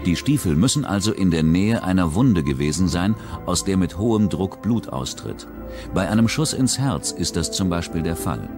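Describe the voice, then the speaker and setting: A man reading a scripted true-crime documentary narration in a measured, even way. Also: deep voice. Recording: music bed under the voice. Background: documentary music.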